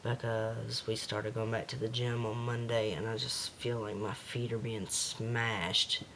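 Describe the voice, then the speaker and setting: A man with a slightly raspy voice talking hesitantly in a casual home video diary, with many pauses and fillers. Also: lowish voice, little pitch movement, a bit bright, slight background noise.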